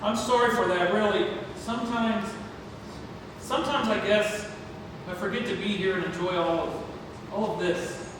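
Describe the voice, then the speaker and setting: A man's voice: high-pitched, normal speed, natural voice. A man playing a busy, distracted but earnest father in an amateur stage play reading. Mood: apologetic. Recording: distant echoey hall, noisy.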